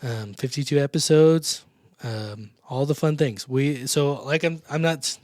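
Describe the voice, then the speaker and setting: A man hosts a small church podcast in a loose, friendly chat. He laughs often and trips over his words as he goes. His voice sits in a middle pitch and sounds close and dry. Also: measured pace, a little mellow, no room sound. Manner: chatty, laughs often, stumbles and restarts. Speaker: podcast host.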